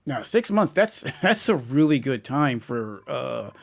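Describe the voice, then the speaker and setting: A man's voice: medium pitch, big pitch swings, unhurried, vocal fry. Upbeat rambling male channel host. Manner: rambling, upbeat, many restarts. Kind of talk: casual video update and interview.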